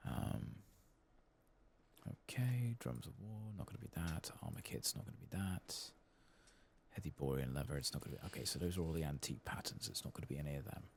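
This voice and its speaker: A man giving soft-spoken, sometimes whispered ASMR commentary on a game, murmuring to himself and reading text aloud. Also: rumbling low, fairly quick, vocal fry, slight background noise. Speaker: ASMR gaming channel host.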